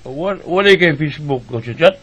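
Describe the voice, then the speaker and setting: A man's voice: a little high, animated, moderate pace, fairly bright tone, husky, highs cut off. A man playing a joking cousin who teases in a chuckling way and urges his relative to use social media. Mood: teasing. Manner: teasing, chuckling. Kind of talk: radio drama acting.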